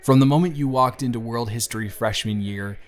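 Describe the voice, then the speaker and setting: Lovestruck young male best friend, played by a man for audio roleplay: mid-pitched, sincere, halting, natural delivery, light music bed. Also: close to an everyday voice. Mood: earnest, confessing. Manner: halting, earnest, conversational. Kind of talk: intimate audio roleplay acting, one side of a conversation. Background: background music.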